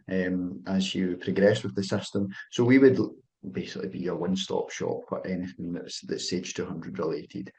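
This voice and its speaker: A young Scottish man presenting a business software webinar over a video call, friendly and full of "um"s and restarted phrases. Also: lowish voice. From Scotland. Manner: filler-heavy, restarts phrases, friendly sales tone.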